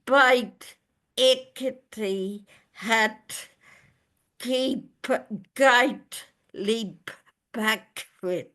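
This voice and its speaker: A woman reading a poem of lament in short, halting, broken phrases with long pauses. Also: fairly high pitch, bright, forceful tone. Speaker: poet reading own work. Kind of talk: poetry reading, lament addressed to God.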